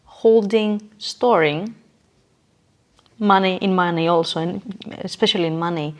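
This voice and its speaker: A woman's voice: fairly low pitch, fairly even intonation, unhurried. A woman guest in an interview, explaining her ideas conversationally in emphatic, short declarative phrases and repeating her key points.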